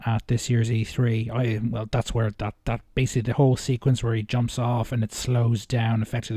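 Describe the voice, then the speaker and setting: A man with a soft-edged, mellow voice in a dry, close recording, talking casually about video games as a reaction host. He rambles hesitantly and often doubles back to reword what he is saying. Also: mid-range voice, no echo. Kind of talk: casual unscripted video game commentary.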